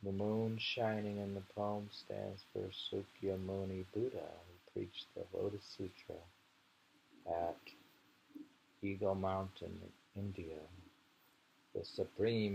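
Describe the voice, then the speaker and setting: A man reading poetry slowly and commenting on it casually, in an unhurried, musing and informal manner. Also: fairly low pitch, vocal fry, a little mellow, slight background noise, poor audio. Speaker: poetry reader.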